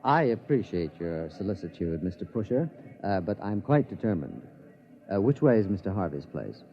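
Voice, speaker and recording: A man's voice: low voice, very animated, normal speed. An actor playing a polite, precise male scientist who hesitates but stays determined, in a 1950s radio drama. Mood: earnest. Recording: muffled vintage radio recording.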